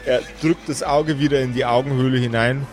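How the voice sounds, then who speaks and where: A man narrating a tabletop game as game master, improvising deliberately and searching for his words. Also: mid-range voice, fairly even intonation, darkish tone, faint music behind.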